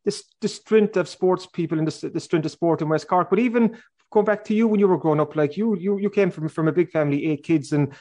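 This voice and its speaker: A man's voice: on the high side, fairly quick, dark tone. A man with an Irish accent hosting a sports interview, repeating phrases as he builds long, conversational questions. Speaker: sports podcast host.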